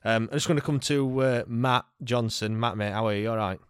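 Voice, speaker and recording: A man hosting a live call-in chat, relaxed and hesitant, with lots of fillers. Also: medium pitch, fairly quick, clean tone, no echo, studio quality. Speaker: host.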